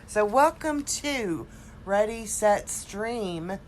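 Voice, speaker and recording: A woman podcast co-host with a throaty voice from a cold, chatting casually and haltingly through a TV recap. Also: mid-range voice, animated, slight background noise. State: recovering from a cold, slightly congested.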